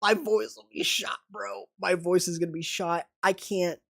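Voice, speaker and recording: A man's voice: sits high, normal speed, gravelly. Young man calling an esports match. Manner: excitable, rising to sudden exclamations. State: voice strained and going hoarse. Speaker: esports commentator and streamer. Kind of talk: live play-by-play game commentary.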